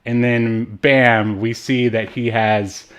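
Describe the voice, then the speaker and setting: A man co-hosting a casual film discussion, talking in a halting, rambling way. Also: mid-range voice, steady tone, a bit bright, clean tone. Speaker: podcast co-host reviewing films.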